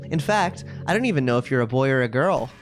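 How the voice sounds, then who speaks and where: Visual novel schoolgirl, read by a man: cutesy, confiding, clingy, very animated delivery over game music. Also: medium pitch, fast, close to an everyday voice. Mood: sweetly confiding. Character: sweet, possessive, self-aware video game schoolgirl. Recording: music playing behind the voice.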